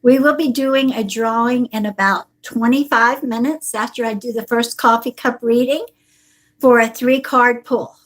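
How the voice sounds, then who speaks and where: A woman's voice: on the high side, fairly even intonation, moderate pace. A woman psychic greeting viewers one by one on a live stream, a little stuffy from a cold and calling people honey and sweetheart. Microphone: device on a table.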